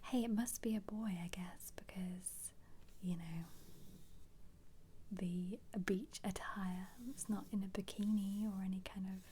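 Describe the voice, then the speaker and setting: A woman speaks in a slow, breathy whisper, soft and mellow, as an ASMR host. She muses playfully to herself and her listeners. A faint hiss sits under the close-miked voice. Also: medium pitch, fairly even intonation. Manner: playful, musing aloud. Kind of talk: soft-spoken whispered ASMR book flip-through.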